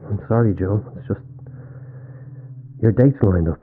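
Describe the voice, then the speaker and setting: An actor playing a sinister boyfriend in a horror audio drama, coaxing and calmly menacing. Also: male voice, fairly low pitch, a little slow, phone-quality sound, soft background music, natural voice. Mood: calm, ominous.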